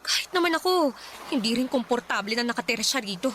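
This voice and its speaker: Feisty protective big sister in a radio drama, sharp voice, swoops up and down, faint music. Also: female voice, a little high, lively intonation, fast talker, a little hiss or hum. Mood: resentful. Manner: feisty, scolding. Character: fierce, protective older sister, working young woman. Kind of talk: Filipino radio drama acting.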